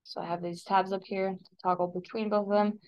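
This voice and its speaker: American woman giving a software tutorial. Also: medium pitch, level tone, a little fast, dull audio. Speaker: digital design teacher. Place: voice-over for a screen recording.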